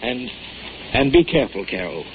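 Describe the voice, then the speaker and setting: An actor playing an anxious, protective father, halting and pleading in a 1940s radio drama. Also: medium pitch, huge pitch swings, gravelly. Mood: worried, tender. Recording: tinny, noisy 1940s radio recording.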